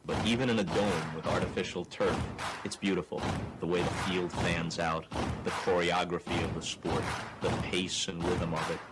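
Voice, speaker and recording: A man speaking reflectively in a measured, contemplative interview manner. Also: low-pitched, fairly even intonation. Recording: very noisy archive clip.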